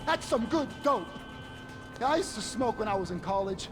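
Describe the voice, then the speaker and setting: A nervous, sycophantic crook's henchman in a video game. His voice sits high, and he rambles and second-guesses himself as he talks. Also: lively intonation, moderate pace, over music. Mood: eager, rambling. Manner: nervous, rambling, self-correcting. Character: jittery, rambling, sycophantic male criminal henchman. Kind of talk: video game cutscene acting.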